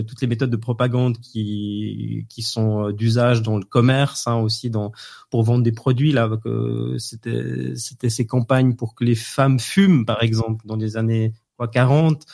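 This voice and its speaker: A man with a gravelly, creaky voice interviews a guest. He builds his questions slowly, pausing on fillers as he goes. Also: mid-range voice, darkish tone. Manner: halting, with fillers.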